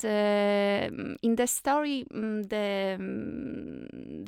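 A woman is talking as a radio guest, thoughtful and unhurried, often pausing on fillers. Her voice is smooth and resonant, with a gravelly edge of fry. The studio sound is dry and close. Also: mid-range voice, no room sound. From Poland. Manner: thoughtful, many hesitation fillers. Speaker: guest actress. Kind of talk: radio interview, explaining at length.

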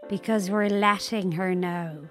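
A woman's voice: medium pitch, fairly even intonation, measured pace, fairly bright tone, soft background music, lightly acted. An actress playing a cool, knowing woman in an audio drama, with dry, understated, needling delivery. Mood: teasing, calm. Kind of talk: naturalistic audio drama dialogue.

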